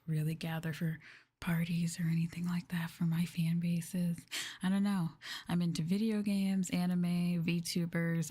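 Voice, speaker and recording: A woman talking softly and breathily, often near a whisper, in an unhurried, musing ASMR livestream chat with viewers. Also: deep voice, breathy edge. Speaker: VTuber livestream host. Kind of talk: ASMR soft-spoken casual chat.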